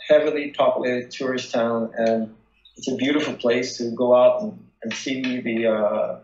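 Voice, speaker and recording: An American man giving a casual phone interview as a local resident, halting as he searches for words. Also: mid-range voice, fairly even intonation, a little mellow. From United States. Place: remote phone call. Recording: dull, echoey phone call.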